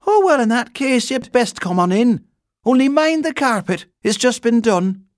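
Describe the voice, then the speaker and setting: A stout older housekeeper acted out by a storyteller speaks in a very high, swooping voice. She is fussy, blunt and fierce with a motherly streak, exclaiming her way through a storybook scene. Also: big pitch swings, moderate pace, no room sound, clean studio sound, close to an everyday voice. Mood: brusque, grudging.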